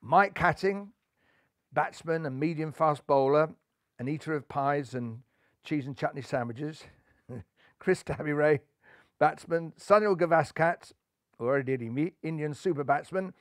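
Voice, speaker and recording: Higher-pitched man reading a children's story. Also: unhurried, dry recording, clean studio sound. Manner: theatrical, playful. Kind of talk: expressive children's story narration.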